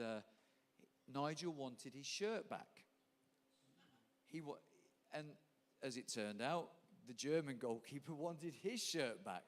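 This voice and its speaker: A man hosting a live stage evening, telling anecdotes with laughter and asking his guests questions. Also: medium pitch. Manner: chatty, laughing storyteller. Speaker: event host. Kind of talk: stage interview with storytelling. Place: live event venue. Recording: echoey hall.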